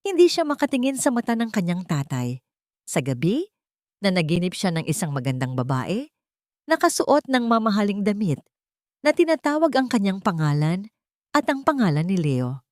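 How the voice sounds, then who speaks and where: A woman's voice: mid-range voice, big pitch swings, moderate pace, darkish tone, no echo, clean studio sound. A woman reading a scripted romantic drama as a story narrator.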